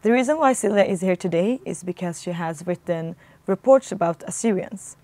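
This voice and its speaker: A woman hosts an interview show in a lowish voice. She asks her questions attentively and keeps the guest going with frequent hums of agreement. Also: moderate pace, darkish tone, no room sound. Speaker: talk show host. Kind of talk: interview hosting.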